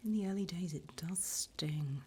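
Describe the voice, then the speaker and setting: Woman doing a whispery demon lady interviewer from hell, low voice, asmr roleplay, teasing but formal, bit of background noise. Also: a little slow, dark tone, lightly acted. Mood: businesslike, teasing. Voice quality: breathy whisper. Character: female demon job interviewer in hell, wickedly amused, businesslike.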